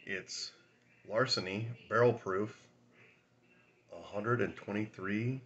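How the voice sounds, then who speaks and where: A man in his forties with a raspy voice from a sinus infection, rambling casually through a whiskey tasting vlog at home, filming on a phone at arm's length. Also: lowish voice, fairly even intonation, takes it slowly, fairly bright tone, dull audio. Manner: rambling, chatty, laughs often, many fillers. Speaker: whiskey review host. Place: small room at home, indoors.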